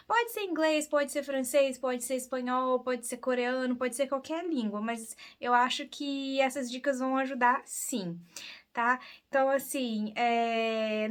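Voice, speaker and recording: A Brazilian woman with a high, light voice teaches in a relaxed, conversational way. She speaks earnestly and keeps checking in with her listeners through tag questions. Her Brazilian Portuguese accent is clear throughout. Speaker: English teacher and podcast host. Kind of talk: casual spoken lesson.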